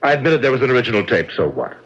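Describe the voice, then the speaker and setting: An actor playing an arrogant, cold-blooded murderer in a radio drama, blustering and scornful, with a husky voice. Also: male voice, mid-range voice, very animated, very fast, fairly bright tone. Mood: defiant, boastful. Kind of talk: old radio drama acting. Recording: dull lo-fi old radio recording.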